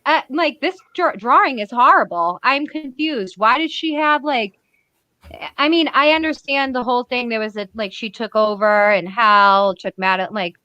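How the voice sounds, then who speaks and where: A woman's voice: a little high, moderate pace, sharp tone, no echo, lo-fi sound. A woman with a Boston accent, co-hosting a casual chat and critiquing art bluntly, laughing often and restarting her sentences. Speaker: podcast co-host.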